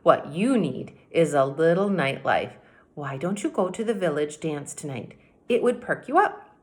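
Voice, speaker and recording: A woman's voice: sits low, very animated, moderate pace, a little mellow. A middle-aged woman voicing a practical old grandma witch who fusses and advises in a storybook read-aloud. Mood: advising.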